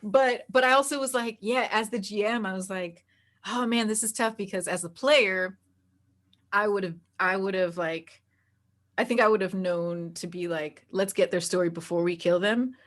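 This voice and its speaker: A woman chatting casually as an interview guest, animated and quick to laugh, with a storyteller's way of talking. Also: medium pitch. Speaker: interview guest, tabletop game master. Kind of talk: casual interview chat, telling anecdotes.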